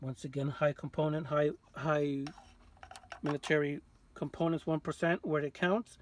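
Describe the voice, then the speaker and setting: Higher-voiced man giving a casual repair walkthrough. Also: steady tone, normal speed, slight background noise. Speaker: vintage radio restorer, presenter.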